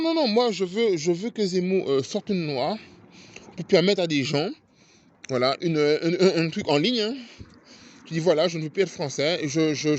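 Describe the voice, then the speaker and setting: Angry vlogger, man with an accent, high voice, stammering rant, slightly dull lo-fi sound. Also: slight background noise. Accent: non-native French accent. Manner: indignant, heated, stammering repetitions. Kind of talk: angry political rant to camera.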